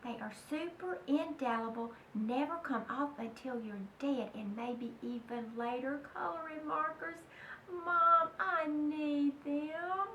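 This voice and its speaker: An eager little girl from a storybook, acted out by a woman reader, wheedling and emphatic in a high, bright voice. She begs insistently, heard in a roomy space a little away from the mic. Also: takes it slowly, heavily acted. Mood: pleading. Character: eager, pleading young girl begging her mother. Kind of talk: children's storybook read-aloud. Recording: roomy, distant mic, faint noise.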